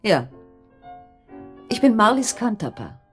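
An actress playing a worried, stubborn wife in a radio drama, speaking insistently and intensely with a husky, breathy voice. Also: female voice, medium pitch, big pitch swings, slow pace, faint music behind. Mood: anxious. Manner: insistent, intense, dropping into hushed tones. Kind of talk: German radio drama acting.

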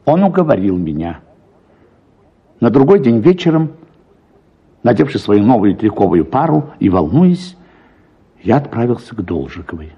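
A man's voice: fairly low pitch, very animated, normal speed, pauses often, slightly rough, muffled recording. A man reading first-person literary narration for a radio production, as an actor telling a story.

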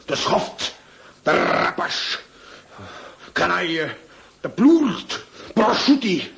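An actor playing an angry, spluttering embassy secretary in a radio play, with drawn-out exclamations in a raised voice. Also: male voice, high-pitched, sing-song, creaky, a bit bright, exaggerated voice. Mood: furious. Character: furious, cursing Turkish embassy secretary, injured nose.

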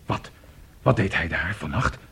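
A man's voice: low voice, lively intonation, unhurried, vocal fry, a bit bright, slightly rough, heavily acted. A man acting a vain, fond middle-aged father in a radio play, hesitant yet theatrical. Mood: teasing, startled.

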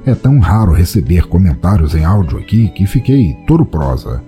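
Brazilian male podcast host, very low mellow voice, theatrical and playful delivery over music. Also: sing-song, a little fast. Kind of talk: scripted podcast narration and listener feedback.

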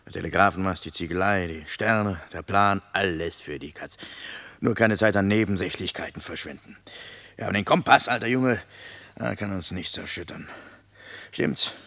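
Ein Schauspieler spielt im Hörspiel einen brummigen, flapsigen Soldaten mit rauer Stimme, kumpelhaft und trocken spöttisch. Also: male voice, low voice, moderate pace, fairly bright tone, no echo. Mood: wry, chummy. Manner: colloquial, wry, chummy. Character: Lu Yo, grumbling, bantering male soldier comrade. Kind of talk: German radio play acting.

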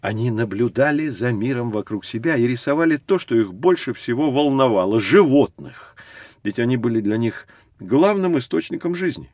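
Man as a patient know-it-all guide explaining stuff to kids, storyteller style, mid pitch, very dry recording. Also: expressive, moderate pace, a little mellow, dry recording, close to an everyday voice. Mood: patient, informative. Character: patient, knowledgeable male guide teaching two children. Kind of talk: scripted educational narration for children.

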